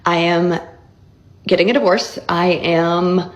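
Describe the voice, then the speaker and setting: A young woman speaking earnestly in a vlog, her voice hoarse and froggy, near tears. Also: on the low side, fairly even intonation, slow pace. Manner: emotional, earnest, close to tears. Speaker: vlogger. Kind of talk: confessional vlog.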